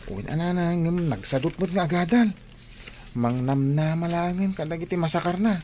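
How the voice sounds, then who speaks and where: A man's voice: a little high, moderate pace, mellow, natural voice. A man playing a cocky, lazy student in a radio drama, talking in a flippant, boastful, pleading way. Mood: making excuses. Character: cocky, lazy male student, breezily self-assured. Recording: clear background noise.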